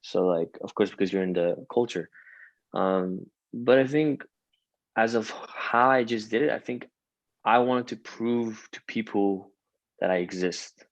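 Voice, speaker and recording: A young man from Iran talks loosely in an interview, his coloring the English he learned after Farsi. His voice sits fairly low and rambles through fillers, restarts and "you know"s. The sound is close and dry, with no room around it. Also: measured pace, dry recording, dull audio. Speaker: rapper guest. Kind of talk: casual interview chat.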